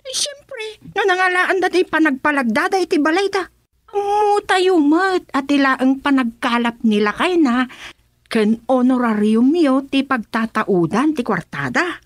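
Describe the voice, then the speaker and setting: A woman's voice: high voice, animated, a little slow, dry recording. A woman playing a gossipy, slyly suspicious village woman in a comedic radio drama.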